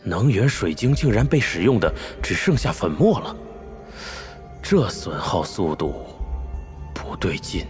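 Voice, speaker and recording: A male actor playing an earnest, protective village father and handyman in an animated drama. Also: low-pitched, a little slow, pauses often, music in the background. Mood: concerned.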